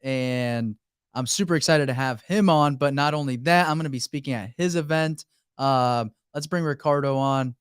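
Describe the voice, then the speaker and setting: Man, interview host, enthusiastic, casual slangy bro talk, average pitch, dry close sound. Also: moderate pace, clean tone, no echo.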